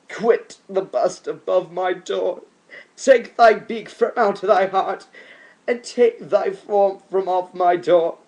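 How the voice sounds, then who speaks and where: A man's voice: on the high side, darkish tone. A man playing a grieving, haunted man in a dramatic poem, crying out theatrically in anguish. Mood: furious. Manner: theatrical, impassioned outcries.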